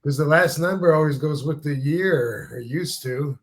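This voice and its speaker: A man's voice: a little high, normal speed. Laid-back man in his fifties. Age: 50s. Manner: rambling, profane, laid-back. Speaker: podcast host, former wrestler. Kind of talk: casual podcast chat.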